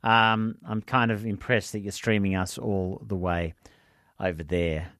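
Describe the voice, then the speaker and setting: A man hosting a talkback radio show, chatting conversationally and jumping in with quick questions. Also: lowish voice, little pitch movement, a bit bright, no room sound, studio quality. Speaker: radio host.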